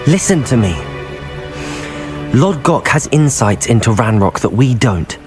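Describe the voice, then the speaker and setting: A young man playing a cautious, level-headed teenage wizard student in a video game, earnest and measured. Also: mid-range voice, big pitch swings, over music. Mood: firm, pleading.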